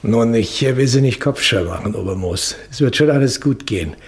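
An actor playing a grave, cautious state secretary with formal, precise diction, in 1960s German radio play style. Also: male voice, mid-range voice, animated, brisk, natural voice. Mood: concerned, warning. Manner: formal, precise, urgent, hesitant at times.

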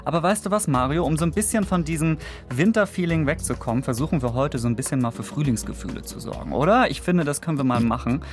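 A man's voice: fairly high pitch, animated, fast, hardly any pauses, faint music behind. A man hosting a radio podcast, chatting playfully with a guest and using many fillers. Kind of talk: casual radio chat.

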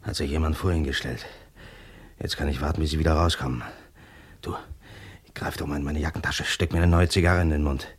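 An actor playing a cold, cocky, domineering killer in a crime radio play, curt and boastful. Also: male voice, a bass voice, steady tone, a little fast, breathy edge. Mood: curt, tense. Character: cold, cocky hired-gun killer, brusque and domineering man.

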